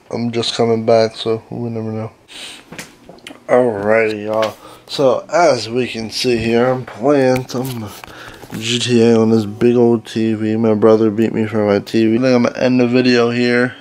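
A man's voice: mid-range voice, a little mellow. A young man in his twenties vlogging casually to a handheld camera, loose and joking, swearing now and then. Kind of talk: casual vlog chat. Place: inside a car, then outdoors and at home. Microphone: handheld camera close up.

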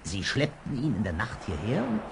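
A man's voice: on the low side, wildly swooping pitch, moderate pace, creaky, exaggerated voice. An actor playing a boatman guide who tells spooky tales with dramatic suspense in a German children's audio drama. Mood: ominous, suspenseful. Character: male boatman guide, teasing spooky storyteller. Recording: noisy vintage radio play with ambience.

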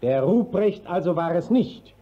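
Stern, animated court inspector. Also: male voice, sits high, a little slow. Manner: authoritative, formal. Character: stern, dignified male court inspector keeping order. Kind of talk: classical German stage-verse radio acting, 1950s. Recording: muffled vintage radio play recording.

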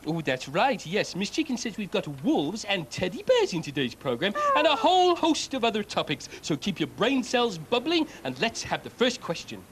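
A man voicing an excitable cartoon dog who presents a children's fact show in a theatrical, over-eager way. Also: sits high, moderate pace, few pauses, slight background noise, heavily acted. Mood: jovial, welcoming. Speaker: host.

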